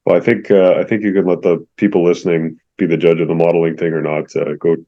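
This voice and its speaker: A man hosting an interview podcast, with a very low voice and a friendly, affirming manner. His talk is full of small fillers. The recording sounds slightly dull and lo-fi. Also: level tone, quick pace, gravelly. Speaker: podcast host.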